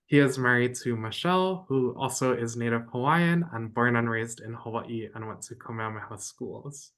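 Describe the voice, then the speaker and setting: A man hosts a program and introduces a guest speaker. He reads in a measured, formal way, then gives warm thanks. He sounds like he is on a video call. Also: mid-range voice.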